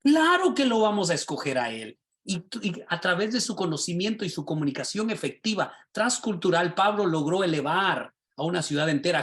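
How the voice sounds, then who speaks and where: A man, a pastor and teacher, teaching a class over a video call in a lively, sermon-like way, often asking his listeners rhetorical questions. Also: sits high. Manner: animated, rhetorical questions, asks the class directly.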